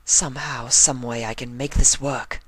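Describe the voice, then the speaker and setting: Devoted female unicorn for story reading, played by a man: raised pitch, breathy, heartfelt, dry close recording. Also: fairly even intonation, normal speed, fairly bright tone, no echo. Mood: determined, tender. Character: elegant female unicorn, devoted and tender, often anguished.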